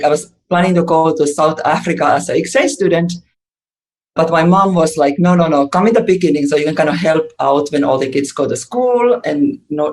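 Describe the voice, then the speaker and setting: A woman from Finland telling her story as a podcast guest, lively and chatty. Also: low voice. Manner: lively, chatty, laughs often. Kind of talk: interview storytelling.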